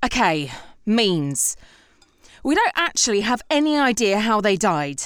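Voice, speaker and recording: A woman's voice: medium pitch, big pitch swings, a little slow, smooth. A British actress playing an earnest, bossy woman writer turned amateur detective, precise and exasperated, in a comedy audio drama. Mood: earnest, pedantic.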